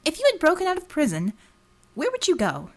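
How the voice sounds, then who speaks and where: A woman's voice: high-pitched, huge pitch swings, brisk, pitched above the performer's own voice, full character voice. A woman voicing a determined, wry female detective in an audiobook, direct and probing with a teasing edge.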